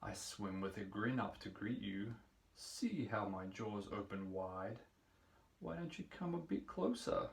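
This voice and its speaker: A man's voice: on the low side, animated, a little slow, gravelly. A man voicing a menacing, grinning shark from a picture book, slyly inviting and drawing out his words. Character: menacing grinning shark luring prey closer. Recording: roomy echo, distant microphone.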